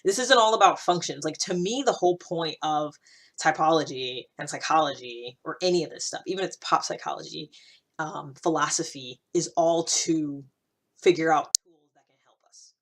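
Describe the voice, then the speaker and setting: Low-voiced woman chatting informally. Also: moderate pace. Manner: rambling, conversational, self-interrupting. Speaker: vlog host. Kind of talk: casual reflective monologue to viewers.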